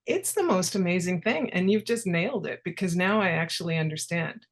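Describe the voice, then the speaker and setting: A woman hosting an online talk show, enthusiastic and quick to thank her guests. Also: fairly low pitch, animated.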